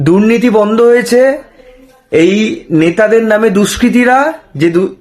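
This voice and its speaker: Man, high voice, West Bengal Bengali accent, opinionated news commentary, challenging rhetorical questions, some English words. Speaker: political commentary vlogger.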